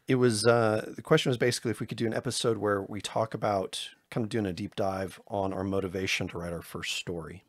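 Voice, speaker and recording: Low, husky-voiced man chatting casually. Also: no echo, studio quality. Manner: loose and rambling, with self-deprecating jokes. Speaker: podcast co-host. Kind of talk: casual podcast chat.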